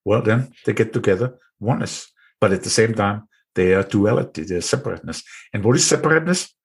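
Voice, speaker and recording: An interview host with an Austrian accent speaks in a fairly low, husky voice. His talk is musing and reflective, and he drags out words and repeats phrases to stress them. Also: lively intonation, quick pace.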